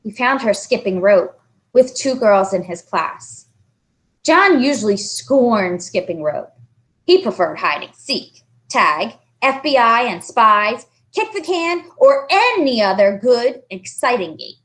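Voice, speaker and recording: A woman narrating a children's book aloud, expressive and carefully phrased, as a storyteller. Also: a little high, slow.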